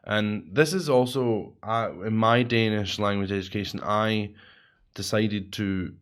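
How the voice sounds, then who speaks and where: A man's voice: fairly low pitch, steady tone, unhurried, a bit bright. A man with a Scottish accent, co-hosting a podcast in casual chat, rambling and correcting himself as he goes. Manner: rambling, self-correcting, chatty. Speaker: podcast co-host.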